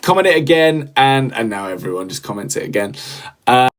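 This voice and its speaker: A man's voice: medium pitch, lively intonation, a little fast. A young man, a podcast host and singer, rambling casually on a livestream with lots of fillers and restarts while he reads the chat.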